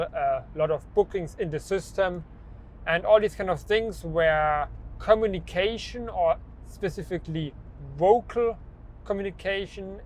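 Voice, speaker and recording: A man's voice: a little high, a little hiss or hum. A young man who stutters, with repeated words and restarts, talking about his own experience in a personal vlog. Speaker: vlogger.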